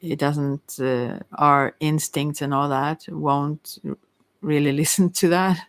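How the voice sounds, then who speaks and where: A psychic reader offers unscripted spiritual advice in an ASMR style. The voice sits at a middle pitch and sounds very dry, with little room sound. The delivery is gentle and consoling, wandering along with frequent self-corrections. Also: fairly even intonation, no room sound. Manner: halting, rambling, often self-correcting.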